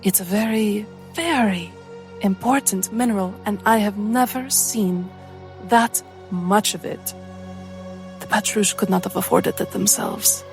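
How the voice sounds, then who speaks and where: A woman voicing a shrewd, teasing female traveler with a measured, coaxing manner in a tabletop game. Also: medium pitch, soft background music, lightly acted. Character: Vianne, shrewd teasing female spy companion.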